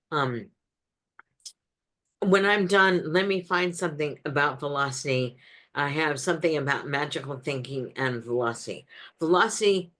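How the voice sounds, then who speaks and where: A woman's voice: fairly low pitch, a bit bright. A woman speaker answering audience questions in a webinar over a video call, direct, with frequent ums and restarts.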